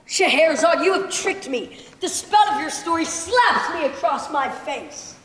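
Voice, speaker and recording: Casting: girl as imperious, brooding sultan, high youthful female voice, formal stage command, echoing hall. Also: animated, a little slow, a bit bright. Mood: troubled. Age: young teenager. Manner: formal, commanding theatrical delivery. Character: proud, brooding, imperious sultan who softens. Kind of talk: school stage play acting. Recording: echoey hall, faintly noisy stage recording.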